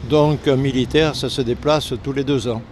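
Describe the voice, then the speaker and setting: An older man with a southern French accent from Lozère speaks earnestly, pausing and restarting when the subject turns painful. His voice sits at an ordinary middle pitch. Faint urban noise hums around him outdoors. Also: fairly even intonation, darkish tone. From Lozère, southern France. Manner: earnest, halting when emotional. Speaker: interviewee, retired air force serviceman. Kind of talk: street interview. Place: outdoors on a city street.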